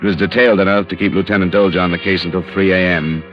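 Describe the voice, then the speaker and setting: An actor playing a tough, dogged insurance investigator in 1950s radio drama style, clipped, deliberate and wry. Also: male voice, low voice, fairly even intonation, close to an everyday voice. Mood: measured, grim. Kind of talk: 1950s radio drama acting, first-person crime narration. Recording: dull old radio recording with music.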